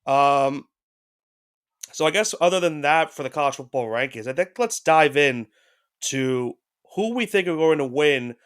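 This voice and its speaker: A man's voice: fairly high pitch, lively intonation, measured pace, clear, resonant tone. A man co-hosting a sports podcast with a head cold, talking casually and loosely, with frequent restarts. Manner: rambling, with restarts and hedges. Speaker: podcast co-host.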